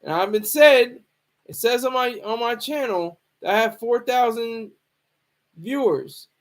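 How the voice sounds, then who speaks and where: A man giving casual, unscripted commentary as a streamer, rambling and halting, often repeating himself. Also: very high voice. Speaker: streamer commenting on hip hop news.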